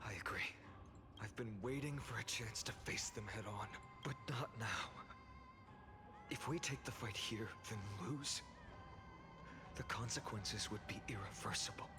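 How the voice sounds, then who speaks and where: A man's voice: lowish voice, a little slow, pauses often, close to an everyday voice. A man playing a solemn, determined young game hero with an earnest, dramatic delivery. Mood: grave. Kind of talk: scripted game voice acting. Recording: music behind the voice.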